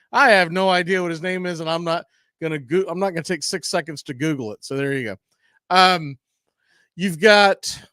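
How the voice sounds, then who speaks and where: A man's voice: sits high, fairly bright tone, clear, resonant tone, no room sound, clean studio sound. An American man giving casual, rambling live pregame baseball commentary as the host of a stream. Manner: informal, conversational, rambling.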